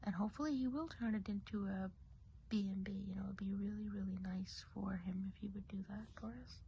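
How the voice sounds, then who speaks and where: A woman playing a kindly witch of the woods in a soft-spoken, whispered ASMR role play, chatty and unhurried. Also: mid-range voice, steady tone, lo-fi sound, slight background noise, lightly acted. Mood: hushed, admiring. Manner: hushed, unhurried, chatty, often trailing off.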